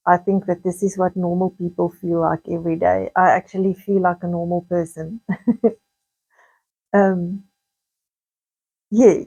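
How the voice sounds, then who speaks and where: A woman with a South African accent and a slightly croaky voice, chatting casually in a rambling vlog monologue, repeating words and drifting into asides. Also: on the low side, a little mellow, poor audio. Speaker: video blogger.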